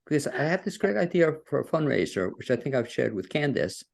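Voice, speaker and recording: A man hosts an interview podcast over a video call, his voice gravelly with a mid-range pitch. He talks in a folksy, rambling way, circling back on his own words. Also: sing-song, a little fast. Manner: folksy, rambling with fillers and restarts. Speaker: podcast host. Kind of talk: casual interview hosting. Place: home studio.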